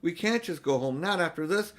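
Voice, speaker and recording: A man's voice: medium pitch, expressive, normal speed. A man voicing an earnest, kindhearted, insistent little girl in a storybook. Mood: pleading, urgent. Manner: insistent, pleading.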